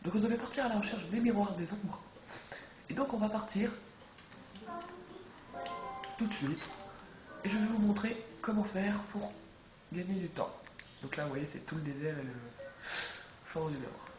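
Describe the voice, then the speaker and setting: A man's voice: high voice, normal speed, pauses often, over music. A man with a head cold, chatting casually and rambling as he plays a video game, often correcting himself. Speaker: let's play gamer. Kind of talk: casual gameplay commentary. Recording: echoey room with background noise.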